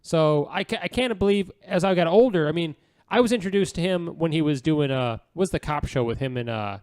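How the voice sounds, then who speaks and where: A man's voice: on the high side, animated, brisk, darkish tone, clear, resonant tone. An American man co-hosting a podcast, chatting casually in an informal, slangy, joking way. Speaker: podcast co-host. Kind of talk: casual, joking panel chat.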